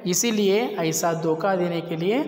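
A man's voice: high-pitched, measured pace, a little mellow. A man who is not a native Hindi speaker, earnestly explaining a recorded phone call and pleading with viewers to listen. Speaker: channel host commenting on call recording. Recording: echoey room with long reverb.

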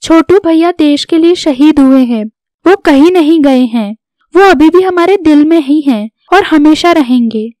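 A supportive young wife from a cartoon family story , played by a woman. The voice is very high and unhurried, politely reassuring, in a dry recording. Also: expressive, dark tone, no room sound. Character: gentle, supportive young wife and daughter-in-law. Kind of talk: scripted Hindi cartoon story dialogue.

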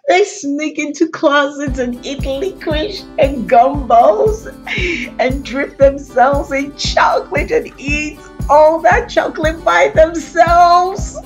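A woman's voice: high voice, slow pace, lo-fi sound, faint music behind, voice pushed up. A woman voicing a curious, suspicious young child from a picture book, in a dramatic, wondering manner. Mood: wailing dismay. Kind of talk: picture-book character read aloud.

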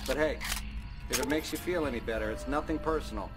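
An actor playing a slick, smug gangster in a video game, talking in smooth, mock-sympathetic wiseguy slang. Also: male voice, mid-range voice, fast, close to an everyday voice, low-quality recording. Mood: mock sympathy. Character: slick, smug male gangster in a checkered suit. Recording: loud music over the voice.

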